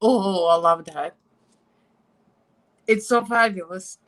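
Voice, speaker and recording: A woman's voice: medium pitch, takes it slowly, a bit bright, poor audio. A woman with a Russian accent chatting casually and giggling as she does her makeup live for viewers.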